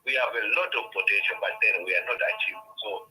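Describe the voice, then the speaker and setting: A man's voice: mid-range voice, sing-song, fast, bright, forceful tone, slightly rough. A man from western Zambia with a Zambian English accent, calling in to argue his point earnestly, with many restarts. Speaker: caller. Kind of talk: phone-in comment. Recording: tinny phone line.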